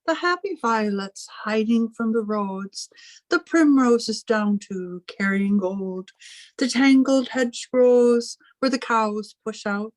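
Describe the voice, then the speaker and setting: Stern, high-voiced older spinster. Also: female voice, takes it slowly, dry recording. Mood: reciting solemnly. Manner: stern, reproachful, formal. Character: Emma, graying, commanding, possessive, suspicious elder spinster sister. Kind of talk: old time radio drama acting.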